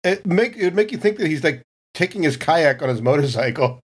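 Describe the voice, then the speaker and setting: A man's voice: a little high, animated, fairly quick, husky. A man on a podcast in casual conversation, telling anecdotes in a loose, informal way. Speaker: podcast cast member.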